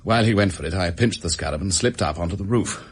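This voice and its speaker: An actor with an English accent playing a desperate, bitter office worker making a halting confession in a radio drama. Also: male voice, low-pitched, husky. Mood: bitter, defiant.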